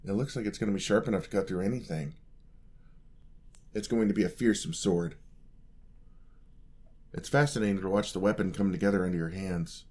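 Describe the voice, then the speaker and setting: A man's voice: deep voice, brisk, pauses often. A man playing a sweet, eager young paladin apprentice in a fantasy ASMR roleplay, speaking politely and earnestly to the listener. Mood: admiring, helpful. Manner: polite, earnest, gently encouraging.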